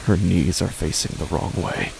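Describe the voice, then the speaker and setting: A man with a fairly low voice reads a first-person horror story in a tense, hushed way. Steady ambient rumble and noise sit under the recording. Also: normal speed, creaky. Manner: hushed, tense dramatic reading. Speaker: narrator.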